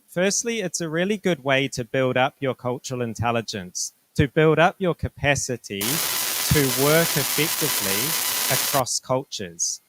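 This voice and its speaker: A middle-aged New Zealand man with a New Zealand accent gives a presentation to students. He speaks in a polite, measured way and explains each point clearly. His voice sits at an ordinary male pitch, and the recording is dry with no room echo. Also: fairly bright tone. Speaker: university director presenting to students. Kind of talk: informational presentation.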